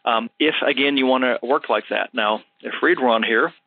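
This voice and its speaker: A man's voice: mid-range voice, normal speed, dry recording. A man hosting a health show, answering a caller in a casual, thoughtful way with many fillers. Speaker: health practitioner and show host. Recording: dry, phone-like narrow sound.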